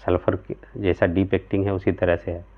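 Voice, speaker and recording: A man in his thirties talks to camera from a small room at home, explaining remedies in an earnest, step-by-step way. His voice sits low and stays flat in pitch. The recording is thin and tinny, like a phone line. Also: brisk. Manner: earnest, explanatory, gesturing with both hands, frequent "theek hai" check-ins. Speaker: homeopathic practitioner.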